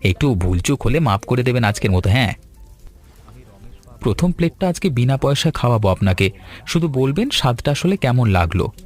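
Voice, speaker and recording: A man voicing a friendly young food seller, earnest, polite and chatty, in an animated story. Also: mid-range voice, brisk, faint music behind. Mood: eager, friendly. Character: eager young fish-fry seller, friendly village man.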